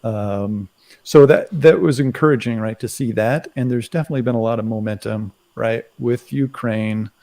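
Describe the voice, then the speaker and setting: A man in a casual current affairs discussion, speaking haltingly and often tagging his phrases with "right". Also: medium pitch, vocal fry, mellow. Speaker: commentator on a live talk show.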